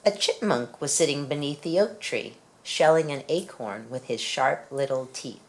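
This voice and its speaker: A woman reading a children's picture book aloud in an expressive storytelling manner. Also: very deep voice, normal speed, slight background noise. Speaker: storybook reader.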